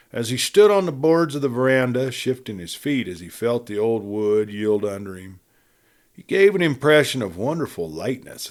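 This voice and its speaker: Male western audiobook narrator, dramatic and expressive, very animated intonation. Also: mid-range voice. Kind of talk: scripted narration of a western novel.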